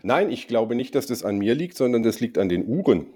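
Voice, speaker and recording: A man co-hosting a casual chat, his voice a little hoarse from a cold, teasing dryly and pausing with many ums. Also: medium pitch, big pitch swings, mellow. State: slightly hoarse, recovering from a cold. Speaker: podcast co-host.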